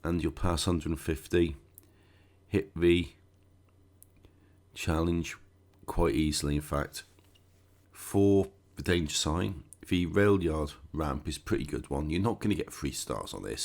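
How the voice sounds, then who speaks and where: A man hosting a gaming channel, croaky and stuffed up with a cold, chatting casually through a game walkthrough with sarcastic asides. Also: extremely low pitch, measured pace, darkish tone.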